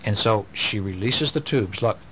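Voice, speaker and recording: A father reading bedtime stories aloud to his children, gentle and animated, pausing to ask them about the pictures. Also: lowish voice, breathy edge. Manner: gentle, animated storytelling with questions to the kids.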